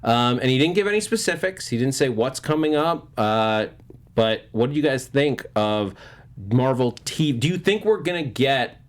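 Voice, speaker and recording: A man hosts a casual entertainment panel with a mid-pitched voice. He talks informally and a little jokily, as if to friends and viewers at once. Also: lively intonation, moderate pace. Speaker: host of a movie news panel show.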